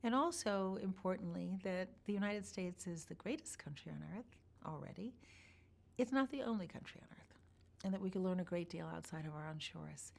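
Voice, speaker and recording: A woman in an interview, telling personal stories in a measured, thoughtful way with pauses. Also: fairly low pitch, animated, vocal fry. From born in Iran, grew up partly in Chicago. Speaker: interview guest. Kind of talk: reflective personal storytelling.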